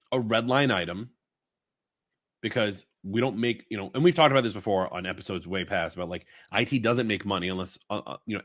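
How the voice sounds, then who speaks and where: A man from Long Island, co-hosting a podcast in rambling, animated casual chat. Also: medium pitch. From Long Island, New York. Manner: rambling, animated storytelling with fillers and asides. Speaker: podcast co-host.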